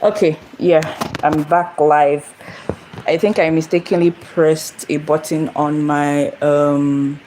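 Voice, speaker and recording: A woman's voice: low voice, steady tone, slow, warm tone, slight background noise, low-quality recording. A young woman from Nigeria hosting a casual phone livestream, rambling and repeating herself as she greets viewers. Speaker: channel host. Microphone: phone camera.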